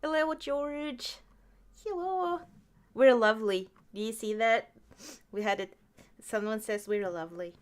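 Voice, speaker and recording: A woman's voice: a little high, a little slow. A woman hosting a podcast in a casual live chat, nervous and giggly. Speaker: podcast host.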